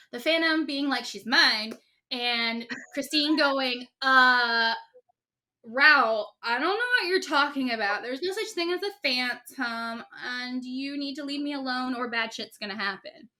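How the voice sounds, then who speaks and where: Bright, high chatty woman. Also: unhurried. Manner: rambling, many fillers, acts out quotes. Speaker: podcast co-host. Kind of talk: casual chat retelling a story.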